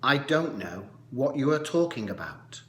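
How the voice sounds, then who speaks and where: A man's voice: mid-range voice, very animated, measured pace. A man reading the part of a defensive man denying accusations in a scripture reading, with emphatic delivery. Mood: defensive denial. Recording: echoey room.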